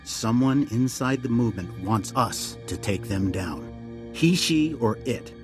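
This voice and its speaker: An actor playing a grave, urgent military intelligence director in a video game, briefing in a clipped, earnest way. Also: male voice, medium pitch, animated, unhurried, lightly acted. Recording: dramatic music underneath.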